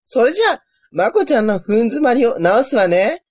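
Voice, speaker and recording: Woman playing trainee witch girl, cheerful, helpful, cutesy, comic radio drama, high voice, animated intonation. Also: normal speed. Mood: confident, helpful. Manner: cutesy, girlish, exclaiming.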